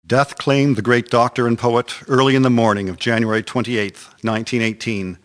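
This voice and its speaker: A man's voice: medium pitch, flat delivery, normal speed. A man narrating a historical radio drama from a script in a solemn, formal storytelling manner. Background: music.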